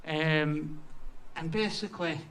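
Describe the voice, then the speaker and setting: A man's voice: a little high, takes it slowly, fairly bright tone, slight background noise. A young man with a Yorkshire accent giving a casual, sweary, self-deprecating talk about his design work at a community event. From Yorkshire, England. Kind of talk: casual conference presentation.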